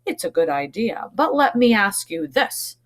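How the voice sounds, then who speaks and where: Woman as a deliberate, wise old mouse. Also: medium pitch, big pitch swings. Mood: measured, challenging.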